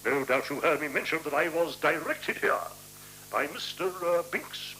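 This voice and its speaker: An actor playing a chummy, fussily polite newcomer in a 1970s radio play, with a chatty, coaxing, courteous manner. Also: male voice, fairly high pitch, lively intonation, normal speed, pushed and bright. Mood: apologetic. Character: chummy, fussily polite male newcomer making himself at home. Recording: noisy, humming 1976 radio recording.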